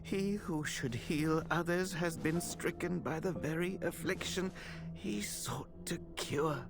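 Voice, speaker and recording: A man playing a grave, compassionate, scholarly temple healer in a video game, with formal, thoughtful, measured delivery. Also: on the high side, music in the background. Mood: solemn, sorrowful. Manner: solemn, formal, thoughtful, old-fashioned diction. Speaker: video game character voice actor. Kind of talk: scripted game dialogue, dramatic and measured.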